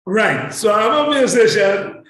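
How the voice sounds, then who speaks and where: A man's voice: high voice, expressive, unhurried, crowd noise. A man chatting casually and enthusiastically as an interview guest, repeating words for emphasis, in a very echoey recording. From Trinidad and Tobago. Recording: very echoey room sound.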